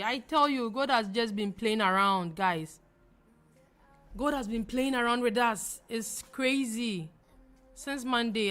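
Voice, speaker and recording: A woman's voice: fairly high pitch, a bit bright. A young adult woman from Ghana with a Ghanaian accent, hosting a live trading stream and answering viewers in a chatty, emphatic way that repeats phrases and laughs easily. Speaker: livestream trading host.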